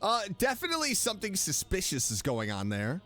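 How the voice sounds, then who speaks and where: A man's voice: high voice, wildly swooping pitch, brisk, over loud music. A man giving casual reactions while streaming a game, muttering playful asides and often repeating himself. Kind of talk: casual gameplay reaction commentary.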